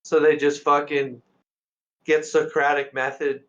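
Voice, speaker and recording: A man's voice: on the high side, level tone, a little slow, fairly bright tone. A man in a casual reaction chat, blunt and profane, rambling with lots of "like.". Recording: dull lo-fi voice call.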